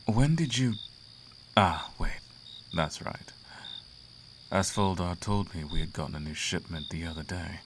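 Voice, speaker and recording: A man's voice: low voice, a little slow, pauses often, slight background noise. A man playing a suave, flirtatious, imperious demon prince in an intimate roleplay monologue, teasing and silky with grand formal phrasing. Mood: curious, flirtatious. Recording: clear outdoor cricket ambience behind.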